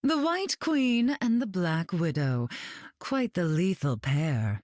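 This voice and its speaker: A vain, icy telepath queen who drawls with smug superiority. Her low voice rises and falls expressively, captured in a dry, close recording. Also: big pitch swings, slow pace, darkish tone, no room sound. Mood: smug, haughty.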